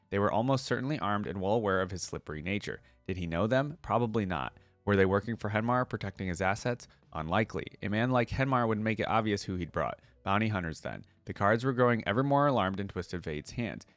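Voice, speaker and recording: A man's voice: fairly low pitch, lively intonation, fairly quick, few pauses, dry recording. A man hosting a reaction video, moving between casual commentary and reading a story aloud.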